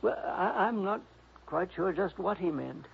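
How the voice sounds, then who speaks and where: An actor playing a sweet, dreamy, eccentric older composer, rambling and hesitant in a radio drama. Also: male voice, high-pitched, expressive, a little slow, gravelly, husky, exaggerated voice. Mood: worried, hesitant. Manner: rambling, fluttery, hesitant, chuckling. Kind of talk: 1960s radio drama acting. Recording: dull, lo-fi old radio recording.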